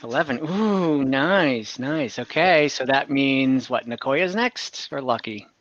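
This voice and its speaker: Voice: mid-range voice, expressive, takes it slowly, highs cut off. A game master running an online fantasy tabletop game, improvising narration and thinking aloud in a casual, easygoing way. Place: online voice call.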